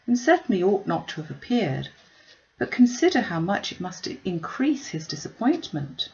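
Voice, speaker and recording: A woman's voice: mid-range voice, sing-song, normal speed, a little mellow, highs cut off. A woman voicing a kind-hearted, distressed young lady in a classic novel reading, with an earnest, plaintive way of exclaiming. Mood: pitying.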